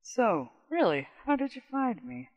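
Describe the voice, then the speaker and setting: A flirtatious, commanding goddess acted by a man, pitched high with big swoops. The delivery is slow and playful, made for an audio storybook. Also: sing-song, highs cut off, heavily acted. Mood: playful, teasing. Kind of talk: radio-play story reading.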